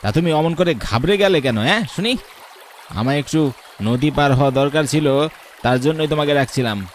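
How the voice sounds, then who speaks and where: Man voicing a menacing, haughty village landlord, highish voice. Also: moderate pace, slight background noise, lightly acted. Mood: haughty, impatient. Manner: bullying, haughty, taunting rhetorical questions. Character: menacing, scheming village landlord, arrogant murderer. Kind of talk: Bengali horror cartoon voice acting.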